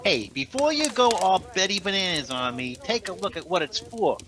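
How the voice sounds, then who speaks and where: A man's voice: sits high, sing-song, moderate pace, crowd noise. An actor playing a brash, wisecracking male Christmas elf in an old-time radio comedy. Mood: teasing.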